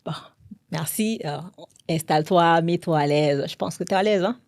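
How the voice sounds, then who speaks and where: Woman podcast host, low voice, friendly reassuring manner, dry close sound. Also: normal speed, darkish tone, no room sound. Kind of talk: casual interview.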